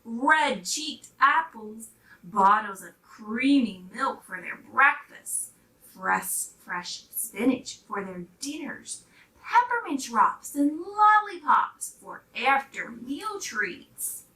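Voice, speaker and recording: Female children's story reader, expressive and unhurried, very lively intonation, recorded in a roomy space. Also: on the high side, slight background noise. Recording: roomy, distant microphone.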